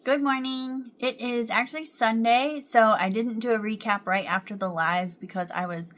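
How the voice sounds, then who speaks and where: A woman's voice: on the high side, fairly even intonation, normal speed, a bit bright. A young adult woman chatting casually to camera at home about her crochet projects, with frequent ums and small laughs. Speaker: crochet vlogger.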